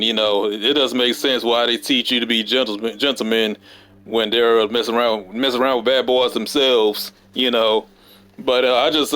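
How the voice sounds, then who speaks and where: A man vlogging casually at work, hoarse from a cold, talking in halting runs full of fillers and restarts. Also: mid-range voice, pushed and bright. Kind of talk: casual rambling monologue. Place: at work during downtime.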